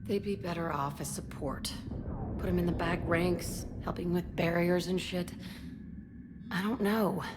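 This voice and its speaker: An actress playing a tough, foul-mouthed, fiercely protective young combat instructor in a video game, brash and sardonic. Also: female voice, fairly low pitch, fairly even intonation, a little slow, background noise, natural voice. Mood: brash, confiding. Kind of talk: video game dialogue acting.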